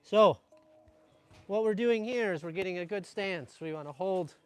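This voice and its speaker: A British man teaching a kung fu class, calling out energetic instructions to the group. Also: high-pitched, normal speed, clear, resonant tone, no room sound, faint music behind. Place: training ground at a mountain retreat.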